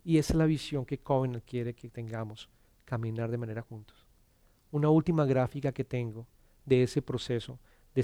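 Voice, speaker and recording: A middle-aged man from Colombia, preaching an earnest sermon, often repeating words as he starts and dropping to a soft hush on scripture. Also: mid-range voice, dry recording, clean studio sound. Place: church.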